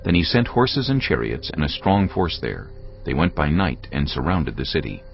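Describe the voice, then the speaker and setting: A male narrator with an extremely deep, gravelly voice reads scripture in a grave, measured storytelling manner. His delivery rises and falls expressively. Cinematic music plays underneath in a dull, lo-fi recording. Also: sing-song.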